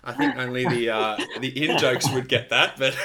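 A man's voice: medium pitch, moderate pace, a loud crowd. A man in his thirties hosting a podcast interview over a video call, enthusiastic and rambling, with lots of restarts. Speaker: podcast host. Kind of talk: friendly casual interview chat.

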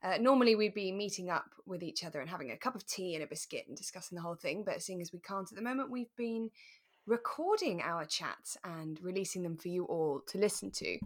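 A woman's voice: on the low side, animated, fairly quick. Effusive woman chatting casually as co-host. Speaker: podcast co-host. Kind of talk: relaxed casual chat about a radio drama.

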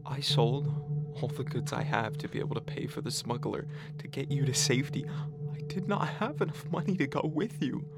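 Elderly Syrian father played by a male actor, retired teacher, mid-pitched, halting and emphatic, wounded dramatic monologue over prominent music. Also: expressive, natural voice. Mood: agitated, defensive. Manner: halting, emphatic, repeating phrases, long pauses. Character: pleading seventy-year-old Syrian father, retired teacher, wounded and bewildered. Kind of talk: dramatic phone-call monologue.

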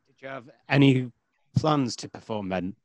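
A male podcast host asks his questions with formal, somewhat flowery turns of phrase. His pitch is mid-range. The sound is dry and close, with little room around it. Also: animated, normal speed, no echo. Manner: formal, slightly florid phrasing. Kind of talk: interview questions.

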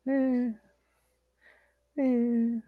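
A man's voice: very high voice, little pitch movement, drawn-out words, no room sound, narrow, tinny audio. A man in his late thirties co-hosting a podcast, rambling and joking crudely in casual banter. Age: 30s. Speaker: podcast co-host.

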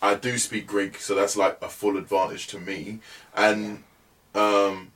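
Young man, lowish voice, laid-back guest, a bit off-mic. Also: unhurried, distant mic. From England. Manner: relaxed, chatty, many fillers like "you know what I mean". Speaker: musician guest. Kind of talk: casual podcast interview.

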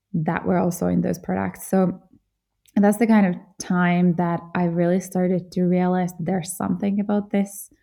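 A woman's voice: lowish voice, dark tone. A woman from Finland hosting a podcast, telling her story in an earnest, reflective way. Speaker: podcast host. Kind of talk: solo podcast monologue.

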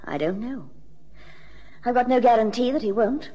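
A woman's voice: a little high, animated, normal speed, dark tone, highs cut off. An actress playing a proud, defiant married society woman with a composed, formal manner in a radio drama. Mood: relieved, composed.

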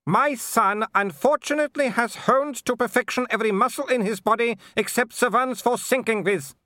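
A man voicing a haughty, older aristocratic werewolf mother with sharp, clipped, scornful speech in an audiobook. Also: very high voice, moderate pace, no echo, clean studio sound, pitched above the performer's own voice. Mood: icy disdain. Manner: sharp, clipped, snapping delivery.

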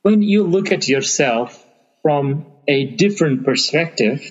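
A man's voice: on the high side, expressive, unhurried. Bangladeshi man, musing in muffled reverb. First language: Bengali. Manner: thoughtful, searching for words with frequent fillers. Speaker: interview guest. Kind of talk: conversational interview. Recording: long reverb, muffled lo-fi sound.

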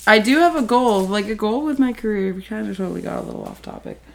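A woman's voice: medium pitch, wildly swooping pitch, fast talker, vocal fry. A woman chatting casually, swearing a lot, rambling with fillers and giggling often. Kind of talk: casual chat.

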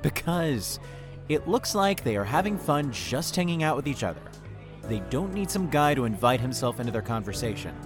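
Earnest, expressive young man played by a man. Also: mid-range voice, normal speed, over music, lightly acted. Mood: weary, dry. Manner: earnest, soft-hearted. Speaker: voice actor. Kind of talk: audio drama dialogue.

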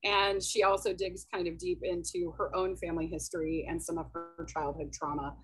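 A woman author as a podcast guest, talking through her book series in a thoughtful, hesitant way with many pauses. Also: on the low side, flat delivery, a bit bright, faint music behind, rough recording. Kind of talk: casual podcast interview.

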